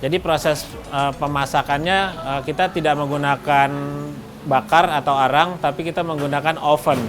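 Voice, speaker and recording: A man with a fairly high voice talks as a business owner in an interview. He explains in a measured, halting way, with music clearly playing behind him. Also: steady tone. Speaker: restaurant co-owner being interviewed.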